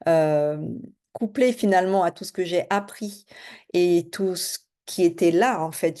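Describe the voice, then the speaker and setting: Fast-talking middle-aged woman, mellow low voice. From French Guiana. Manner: fast-talking, hesitant with many fillers. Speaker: spiritual and business coach. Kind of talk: casual self-introduction to camera.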